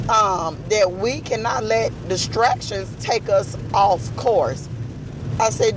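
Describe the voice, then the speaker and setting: A hoarse, raspy woman giving an earnest personal testimony, telling a story to her aunt in a faith-filled way. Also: a little high, noisy background. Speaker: podcast speaker.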